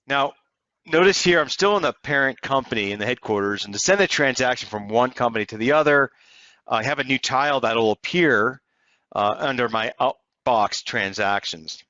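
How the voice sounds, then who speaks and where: Bright, clear-voiced man demonstrating software. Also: mid-range voice, normal speed, no echo, lo-fi sound. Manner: explanatory, conversational walk-through. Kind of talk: step-by-step software tutorial narration.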